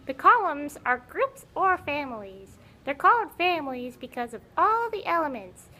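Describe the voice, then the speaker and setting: A woman's voice: high-pitched, sing-song, moderate pace, bright, forceful tone, exaggerated voice. A woman voicing a grumpy, conceited old talking puppet who lectures impatiently in an educational puppet show. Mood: lecturing, haughty. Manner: haughty, exasperated, scolding, lecturing.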